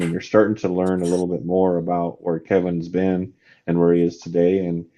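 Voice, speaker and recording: Man, very deep voice, upbeat host, dull lo-fi sound. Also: dark tone, slight background noise. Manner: upbeat, encouraging, talks to the audience directly. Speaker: podcast host. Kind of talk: casual podcast chat.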